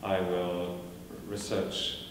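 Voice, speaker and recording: A man whose first language is Welsh, a performer speaking in a measured, thoughtful way with many fillers and restarts, heard from a distance in a large lecture hall. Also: sits low, completely flat delivery, a little hiss or hum. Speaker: performer giving a talk. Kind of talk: lecture answering audience questions. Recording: echoey hall recorded from a distance.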